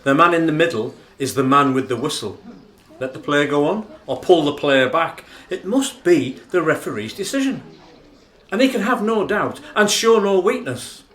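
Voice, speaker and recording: An actor playing a formal, indignant dinner speechmaker in a radio play, declamatory and emphatic. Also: male voice, fairly high pitch, lively intonation, moderate pace, fairly bright tone. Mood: firm, insistent. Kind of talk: theatrical radio play monologue. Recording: roomy, echoing sound.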